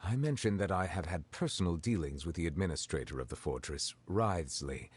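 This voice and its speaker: Deep stately judge, formal. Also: male voice, expressive, breathy edge. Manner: formal, measured. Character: composed stately male judge, formal and calm.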